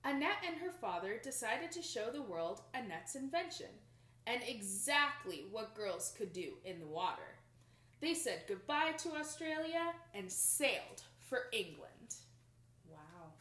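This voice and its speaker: A young woman reads a children's picture book aloud with expressive, dramatic emphasis, recorded in a small room indoors. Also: on the high side, a little slow. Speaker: storybook reader. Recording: roomy home recording.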